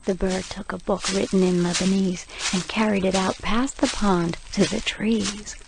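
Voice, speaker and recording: A woman playing a little girl who narrates a rhymed radio play in a hushed, watchful, creaky voice. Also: mid-range voice, fairly even intonation, moderate pace, background noise, natural voice. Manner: hushed, storytelling in rhymed verse.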